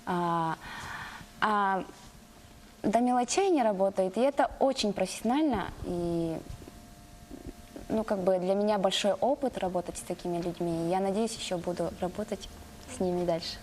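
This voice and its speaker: An actress with a Yakut accent answering interview questions hesitantly, with many fillers. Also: female voice, medium pitch, moderate pace, long pauses, darkish tone, slight background noise, rough recording.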